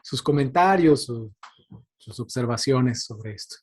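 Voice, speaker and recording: A man's voice: mid-range voice, lively intonation, a little slow, darkish tone. A man giving an informal presentation, hesitant and conversational, often checking for agreement. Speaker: guest lecturer. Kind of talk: informal presentation on radio drama.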